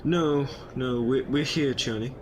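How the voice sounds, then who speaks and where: A man's voice: mid-range voice, measured pace, darkish tone, close to an everyday voice. Man playing a whimsical, urgent time-travelling eccentric. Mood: wry, puzzled. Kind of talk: audio drama acting.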